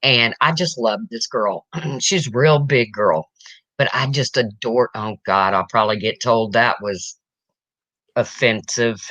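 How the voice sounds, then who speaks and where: A woman from the US Midwest with a deep, raspy voice, chatting bluntly as a podcast co-host. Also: a little slow, a bit bright, no echo. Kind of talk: casual chat.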